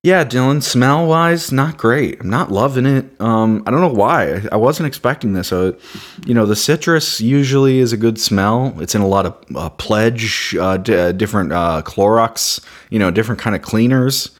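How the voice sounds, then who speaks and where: A man co-hosting a casual beer review podcast, joking crudely with deadpan asides. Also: fairly low pitch, moderate pace. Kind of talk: casual banter.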